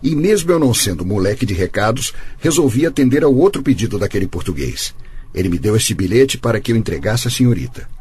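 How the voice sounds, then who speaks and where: An actor playing a learned, middle-aged gentleman of the law in a radio soap, formal and measured, with a Brazilian accent. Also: fairly low pitch, animated, close to an everyday voice. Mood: wry, composed. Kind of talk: radio soap opera acting.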